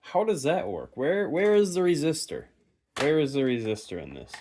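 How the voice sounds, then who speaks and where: A man's voice: on the high side, sing-song, normal speed, mellow, a little hiss or hum. A man casually narrating a radio repair unscripted, thinking aloud in an offhand way in a basement. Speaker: hobbyist radio repairer and presenter. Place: stone-lined basement.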